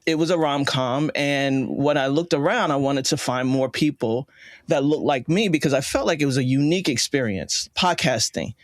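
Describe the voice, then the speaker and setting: American guy guesting on a podcast, medium pitch, reflective casual chat, really dry audio. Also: moderate pace, no room sound. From United States. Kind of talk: casual interview conversation.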